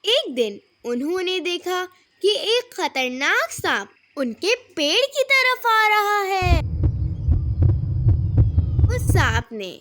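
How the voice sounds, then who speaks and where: A woman tells a children's story in a very high voice. The intonation swings widely and the pace is slow and measured. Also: very animated, bright, forceful tone, slight background noise. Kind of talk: scripted children's story narration.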